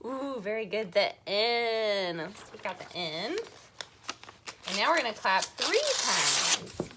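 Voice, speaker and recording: A woman hosting a children's storytime, talking to young viewers in an animated, encouraging way with playful questions. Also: medium pitch, slow, noisy background. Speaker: children's library storytime host.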